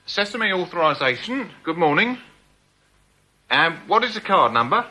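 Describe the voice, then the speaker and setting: A jumpy male crook in a radio drama, high-voiced and very sharp. His anxious, hurried lines swing around in pitch. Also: very animated, a little hiss or hum, heavily acted, poor audio. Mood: nervous.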